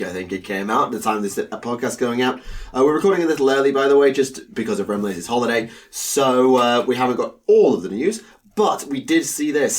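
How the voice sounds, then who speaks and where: A young man co-hosting a casual podcast, talking haltingly with plenty of ums and uhs. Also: medium pitch. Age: young adult. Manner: hesitant, lots of ums and uhs. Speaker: podcast co-host. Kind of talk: casual chat.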